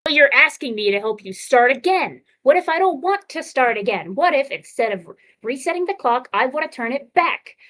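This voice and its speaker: A woman's voice: high voice, lively intonation, a little fast, few pauses, fairly bright tone. A woman voicing a restless, defiant and idealistic male robot adventurer with a challenging manner in a comic dub. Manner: challenging, questioning. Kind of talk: amateur comic dub read-through.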